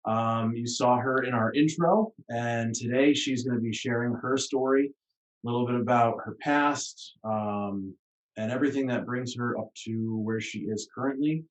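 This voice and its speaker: A man hosting a casual podcast, introducing his wife and gently asking her questions. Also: medium pitch, moderate pace, distant mic, low-quality recording. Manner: supportive, prompting questions. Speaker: podcast host.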